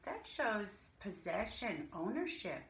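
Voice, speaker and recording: A woman teaching a reading lesson to young children, pronouncing single words deliberately and speaking to the children directly. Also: mid-range voice, big pitch swings. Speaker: primary school reading teacher. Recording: roomy echo, faint background noise.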